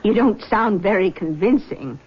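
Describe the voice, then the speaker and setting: A woman's voice: medium pitch, animated, unhurried, breathy edge. Meddling middle-aged mother, muffled recording. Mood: disapproving. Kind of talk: old-time radio drama acting. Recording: dull, lo-fi radio recording.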